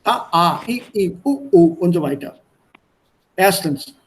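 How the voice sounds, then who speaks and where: Male language tutor, Indian accent, high-pitched voice, explains didactically and demonstrates by repetition. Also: lively intonation, measured pace. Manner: didactic, repeats and demonstrates sounds. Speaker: language teacher. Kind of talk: online language lesson.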